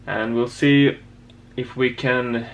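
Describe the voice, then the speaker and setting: A man giving a casual hands-on project demonstration as a hobbyist presenter, with a hesitant, halting delivery. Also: medium pitch, fairly even intonation, highs cut off, slight background noise. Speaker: amateur radio hobbyist presenter. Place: indoor home workshop.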